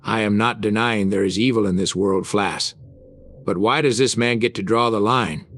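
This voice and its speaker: An actor playing a resolute, indignant crime fighter in a motion comic dub, speaking in a serious, insistent way. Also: male voice, lowish voice, moderate pace, fairly bright tone. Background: dramatic music.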